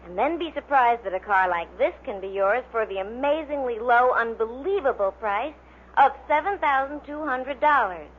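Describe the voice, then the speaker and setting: A woman's voice: fairly high pitch, expressive, normal speed. An American woman reading scripted announcements in a vintage broadcast style. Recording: dull, faintly noisy vintage broadcast recording.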